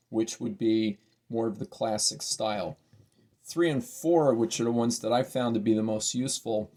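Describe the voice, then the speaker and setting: A man's voice: mid-range voice, a little mellow. A man giving a casual, informal gear demo, explaining things step by step as a reviewer. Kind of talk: casual product demo talk. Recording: roomy, reverberant sound.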